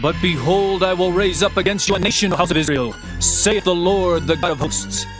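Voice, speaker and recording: A man's voice: sits high, normal speed, lightly acted. A man playing an earnest herdsman prophet, solemn and declamatory, in a dramatized scripture reading. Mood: solemn, warning. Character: Amos, earnest male herdsman prophet proclaiming judgment. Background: music.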